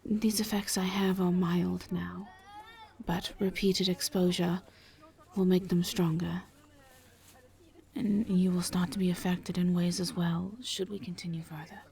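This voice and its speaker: A woman playing a gentle, reserved vampire in a roleplay audio drama, speaking in a hushed, breathy voice with careful, halting, formal phrasing. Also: on the low side, little pitch movement. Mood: serious, cautious. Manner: halting, careful, formal diction without contractions. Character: gentle, reserved female vampire travelling with a knight.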